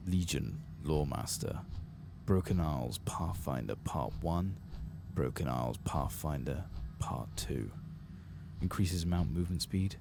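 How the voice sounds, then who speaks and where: A soft-spoken man, often whispering, giving a slow, hushed ASMR playthrough of a fantasy game, reading quest text and adding casual asides. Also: extremely low pitch, animated, music in the background. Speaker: streamer playing a fantasy game. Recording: clear background noise with game ambience.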